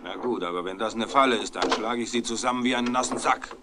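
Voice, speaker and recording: An actor playing a suspicious, belligerent young tough who threatens people in a 1960s German radio crime drama. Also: male voice, medium pitch, quick pace, heavy background noise, close to an everyday voice. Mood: threatening. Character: belligerent, suspicious male thug making threats.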